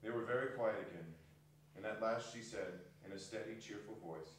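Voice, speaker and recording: A man's voice: on the low side, normal speed. A man narrating a scripted story as part of a dramatic stage reading. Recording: very echoey hall, distant mic, faint noise.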